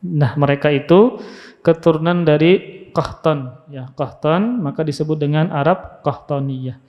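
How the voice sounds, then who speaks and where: A man's voice: on the high side, a little slow. Male preacher in a reverberant hall. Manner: didactic, repeats key phrases for emphasis. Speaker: Islamic preacher and teacher. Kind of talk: religious lecture with Arabic quotations. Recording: muffled, long hall reverb.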